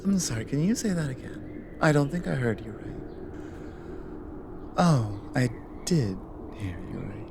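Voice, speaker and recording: A woman playing an exiled cougar guardian in an intimate ASMR audio drama, lonely and wary yet flirtatious, speaking haltingly in murmurs and whispers. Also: very deep voice, sing-song, background noise, exaggerated voice. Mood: taken aback. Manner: slow, halting, breathy murmurs dropping into whispers.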